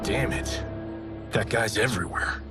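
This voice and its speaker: A man's voice: lowish voice, measured pace, over loud music, lightly acted. A man playing a cocky young fighter hero in a video game, flippant, casual and quippy. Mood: exasperated, reluctant. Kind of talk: video game cutscene acting.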